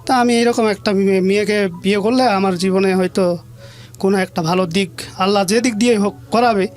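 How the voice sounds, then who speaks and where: A man's voice: high voice, fairly even intonation, a little fast. A Bangladeshi man telling his life story as an interview guest, plain-spoken and colloquial, often repeating phrases. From rural Bangladesh.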